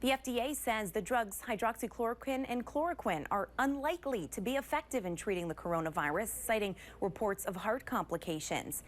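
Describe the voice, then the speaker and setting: A woman's voice: on the high side, animated, fairly quick, hardly any pauses, slight background noise. A woman with an American accent reading scripted television news as an anchor. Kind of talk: scripted news broadcast narration.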